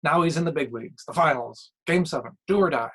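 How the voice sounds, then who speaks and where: A man performs a blustery former hockey coach in the TV booth for an audio short story. He rants in short, slangy, emphatic sentences, full of strong opinions, with a clearly pushed commentator's delivery. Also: on the high side, brisk, a bit bright. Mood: scornful, worked up. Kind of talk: acted sports commentary in a short story reading.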